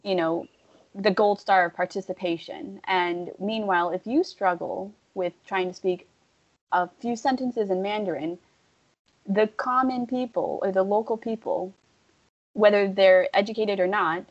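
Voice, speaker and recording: A young woman talking casually and at length, full of fillers, turning animated when she quotes other people. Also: medium pitch, unhurried. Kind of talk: casual conversation. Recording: dull lo-fi audio.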